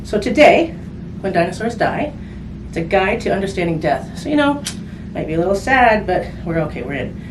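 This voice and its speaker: A woman's voice: mid-range voice, sing-song, moderate pace. A woman reading a picture book aloud to children in a conversational way, with simple casual asides. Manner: conversational, simple phrasing aimed at children, with tag questions. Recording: roomy, distant mic with background noise.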